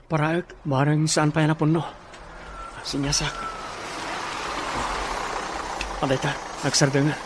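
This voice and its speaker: A man's voice: on the high side, lightly acted. A man acting in an Ilocano radio drama as a reluctant, God-fearing young student son, earnest and pleading. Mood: muttering to himself.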